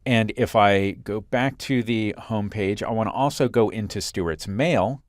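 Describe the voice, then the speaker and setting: A man working as an instructor, narrating a software screencast in a clear, step-by-step explanatory way. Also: fairly low pitch, measured pace, no room sound, studio quality.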